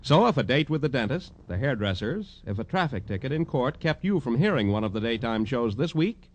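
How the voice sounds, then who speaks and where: A man's voice: mid-range voice, big pitch swings, fairly quick. A man announcing in a scripted, polished, promotional 1950s American network radio style.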